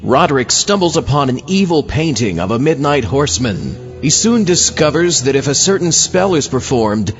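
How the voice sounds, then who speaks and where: Man as a goofy ghoulish host, swooping pitch. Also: big pitch swings, normal speed, hardly any pauses. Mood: theatrical. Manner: rambling, theatrical, goofy. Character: quirky macabre astronaut horror host, goofy and ghoulish. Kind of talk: comic horror podcast hosting. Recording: dull audio with faint music.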